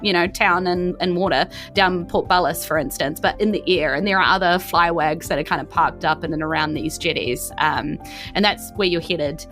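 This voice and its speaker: A woman's voice: on the low side, moderate pace, a bit bright, soft background music. A woman narrating a tabletop game as game master, descriptive and conversational.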